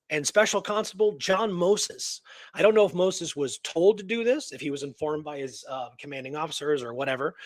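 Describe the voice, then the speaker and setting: A man's voice: fairly high pitch, dry recording. A man hosting a talk show, telling a historical story in a casual, colloquial, earnest and engrossed way. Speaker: radio show host telling a true story.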